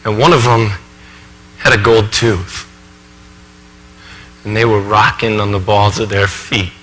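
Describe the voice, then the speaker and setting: A man performing a dramatic stage monologue as an earnest, anxious thirty-year-old college professor, confiding in halting, emphatic asides. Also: fairly low pitch, fairly even intonation, sharp tone, faint music behind. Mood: haunted, hesitant. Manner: conversational asides, halting, emphatic, self-questioning. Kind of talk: dramatic monologue for speech competition. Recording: echoey hall, faint background noise.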